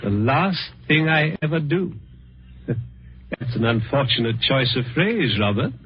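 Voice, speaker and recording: An actor playing a sly, mock-courteous older gentleman plotting murder, in the style of old-time radio suspense. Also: mid-range voice, sing-song, takes it slowly. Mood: gloating. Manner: mock-courteous, chuckling, savouring his words. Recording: muffled, noisy vintage radio recording.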